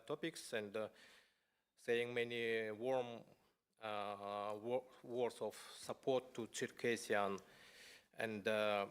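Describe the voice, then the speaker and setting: Halting man giving a conference speech. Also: fairly low pitch, smooth. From Buryatia, Russia. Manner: halting, many fillers and restarts, non-native phrasing. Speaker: conference speaker. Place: parliament conference hall.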